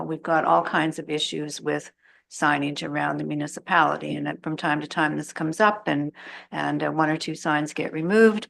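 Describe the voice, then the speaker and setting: A woman serving as deputy mayor, speaking in a council meeting in a thoughtful, questioning, measured way from a desk microphone in a council chamber. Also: low voice, vocal fry, a bit bright.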